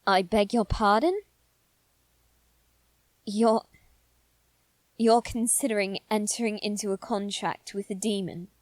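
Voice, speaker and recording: A woman voicing an anxious aristocratic boy in a scripted audio roleplay, speaking formally and haltingly with dramatic pauses. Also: mid-range voice, steady tone, dry recording, lightly acted. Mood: alarmed, scolding. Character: anxious aristocratic boy lord bound to demons. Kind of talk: scripted ASMR roleplay addressed to the listener.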